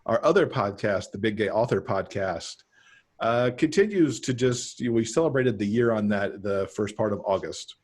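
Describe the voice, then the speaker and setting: A middle-aged man chatting casually as a podcast guest on a video call, pausing to think as he goes. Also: lowish voice, lively intonation, a little mellow, husky. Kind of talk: casual conversational interview. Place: home room, on a video call.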